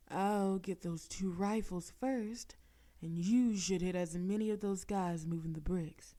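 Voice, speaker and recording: A bold, teasing female crime boss, voiced by a woman in an audio roleplay. Her delivery is unhurried and mellow. She flirts and jabs playfully. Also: on the low side. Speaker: voice actress.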